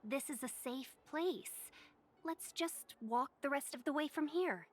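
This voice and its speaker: A woman voicing a mild, easygoing young female aide in a video game, with a casual, placating, expressive manner. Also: high-pitched, unhurried.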